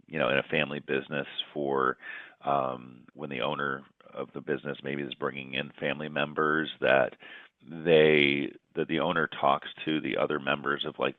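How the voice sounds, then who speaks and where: Deep gravelly male host, halting, tinny dry sound. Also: dry recording. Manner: halting, many fillers and restarts. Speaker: company president, panel host. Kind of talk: casual panel discussion.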